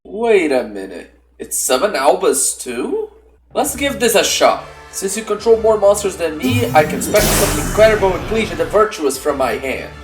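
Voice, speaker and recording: A man's voice: high-pitched, sing-song, normal speed, soft background music. A man playing an earnest, casual young hero duelist in an anime-style card battle roleplay, talking through his moves. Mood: determined.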